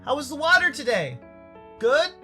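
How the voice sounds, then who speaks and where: Man playing awkward, easily impressed young male game character. Casual, hesitant, very animated intonation. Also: extremely high pitch, normal speed, soft background music, voice pushed up, heavily acted. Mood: eager, chatty. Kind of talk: visual novel character dialogue.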